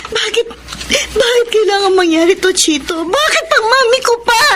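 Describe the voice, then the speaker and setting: A woman's voice: very high-pitched, lively intonation, normal speed. A woman with a Filipino accent acting a trusting, devoted young daughter in a radio drama. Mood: anguished, crying. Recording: clearly noisy radio drama track.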